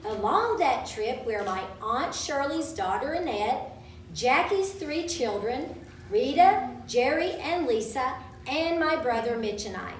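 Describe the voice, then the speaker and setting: A woman's voice: high voice, expressive, slow pace. A woman reading a childhood story aloud to children at a live event, with dramatic storytelling delivery. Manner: dramatic, acts out quoted speech. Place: large room at a live event. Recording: roomy, distant microphone, faint background noise.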